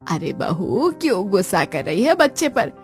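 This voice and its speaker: A woman voicing an elderly grandmother in an animated story, speaking softly and coaxingly. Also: mid-range voice, moderate pace, faint music behind, lightly acted. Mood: pitying. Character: elderly grandmother, gentle and worried.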